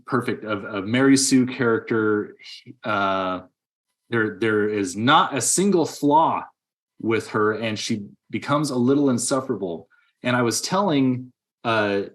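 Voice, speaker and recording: Male, lower register, casual review-show co-host who acts out quotes while storytelling. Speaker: podcast co-host. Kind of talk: casual movie review chat.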